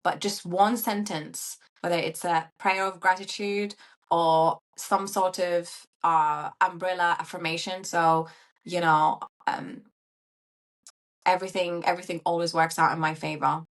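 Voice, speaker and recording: A woman from Syria with a fairly low voice, speaking as a podcast guest. She talks in a chatty, animated way and keeps adding little fillers as she goes. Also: measured pace. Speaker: guest, coach. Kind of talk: casual interview chat.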